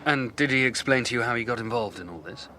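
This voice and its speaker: An actor playing a detective's inquisitive, matter-of-fact confidant in a radio drama, with a questioning manner. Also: male voice, medium pitch, fast talker, pushed and bright, a little hiss or hum, lightly acted. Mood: curious. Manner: questioning, to the point.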